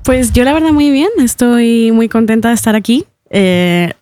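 A young woman from Ecuador, a singer being interviewed in a radio studio. Her voice is smooth and resonant, recorded close and dry, and she laughs easily between thoughts and pads her answers with soft fillers. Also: on the high side, moderate pace, no echo. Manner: laughs often, speaks with fillers like "eh" and "am".

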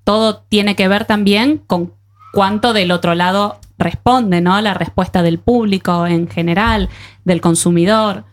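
An Argentine woman hosts a relaxed conversation at an average female pitch. She speaks colloquially and chattily, encouraging her guests as she interviews them. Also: measured pace. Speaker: radio show host.

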